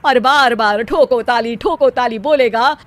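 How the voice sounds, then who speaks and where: A woman's voice: fairly high pitch, unhurried, close to an everyday voice. Woman acting a weary, dry cartoon dad. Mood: dryly resigned. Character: middle-aged father, weary and dryly resigned.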